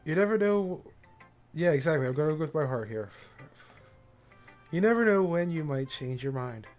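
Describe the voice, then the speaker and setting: Higher-pitched man streaming a game over music. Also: lively intonation, normal speed, a little mellow. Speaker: livestreamer playing a visual novel. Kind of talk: casual playthrough commentary, reading game text aloud.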